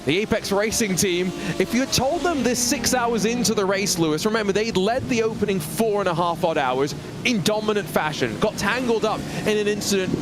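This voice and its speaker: A man's voice: sits high, background noise. A man from Singapore giving live commentary on a sim race, fluent and polished, mixing play-by-play with stories.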